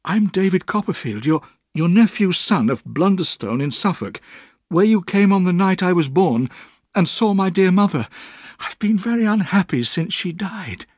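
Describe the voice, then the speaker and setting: A scared young boy telling his troubles in a raised, pleading voice, as a male narrator puts him on. Politeness and tears color every word, and the pitch rises and falls a lot. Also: animated, takes it slowly, dry recording, studio quality, full character voice. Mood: tearful. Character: frightened, earnest runaway young boy.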